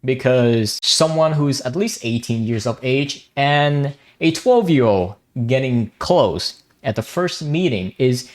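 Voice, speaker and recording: Young man, from Vietnam, native Vietnamese speaker, blunt commentary, swears a lot, pitch swings widely. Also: lively intonation, slow, few pauses. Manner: blunt, swears freely, frequent restarts. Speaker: gaming commentary YouTuber. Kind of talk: commentary on online drama.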